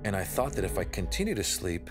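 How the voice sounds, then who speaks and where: A man's voice: lowish voice, sing-song, music in the background. An actor playing a despairing man in a reflective, confessional audio drama monologue. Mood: weary, wistful. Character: despairing man recounting a wish for new life.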